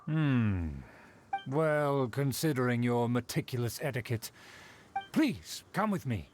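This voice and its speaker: Snooty aristocrat game character, really swoopy intonation, old-timey posh wording, music playing. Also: male voice, medium pitch, expressive, slow, darkish tone. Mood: formal, confiding. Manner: haughty, affected, old-fashioned formal speech. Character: pompous aristocratic schemer, haughty, easily flattered man. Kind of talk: video game voice acting.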